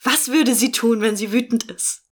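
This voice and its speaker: A woman's voice: fairly high pitch, normal speed. A woman talking casually in an interview about her acting work. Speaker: podcast actress.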